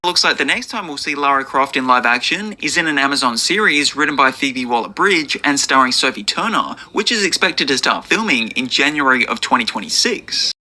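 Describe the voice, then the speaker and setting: A man narrates scripted entertainment news in an informational style. His voice is mid-pitched, with a strikingly bright and forward tone. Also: lively intonation, a little fast, few pauses. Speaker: video narrator.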